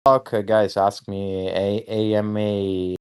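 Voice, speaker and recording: A man's voice: fairly low pitch, little pitch movement, slow pace, clean tone. A man chatting casually as a guest on an online voice space, rambling and swearing, with lots of fillers and restarts. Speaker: guest artist.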